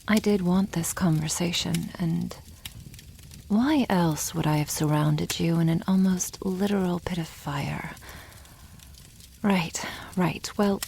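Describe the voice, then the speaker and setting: A woman plays a proud, teasing dragon girl in an intimate ASMR roleplay. Her soft, half-whispered voice moves very slowly and crackles with vocal fry. She sounds wry and a little condescending toward humans, over faint background ambience. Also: on the low side. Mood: teasing, haughty. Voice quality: soft, breathy, often near whisper. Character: proud, teasing young female dragon, protective elder sister. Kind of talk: ASMR roleplay, addressing the listener.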